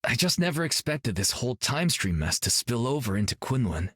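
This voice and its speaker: A man's voice: mid-range voice, big pitch swings, a little fast, fairly bright tone, husky, no room sound, studio quality. A young actor playing a brash, cocky male martial artist in a video game, joking and upbeat. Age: young adult.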